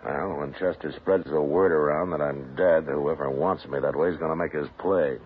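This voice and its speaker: An actor in a 1950s radio western playing a calm, commanding frontier marshal with a level, unhurried delivery. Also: male voice, very deep voice, a little hiss or hum. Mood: steady. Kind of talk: 1950s radio drama acting. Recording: tinny old radio broadcast.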